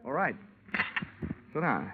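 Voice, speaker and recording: An actor playing a sardonic, confident insurance investigator in an old radio detective show, with clipped, wry delivery. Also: male voice, medium pitch, very animated, takes it slowly, noisy background. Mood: challenging. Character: wry, hard-boiled male insurance investigator narrating his case. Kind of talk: 1950s radio detective narration and acting. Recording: tinny old radio broadcast. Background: music.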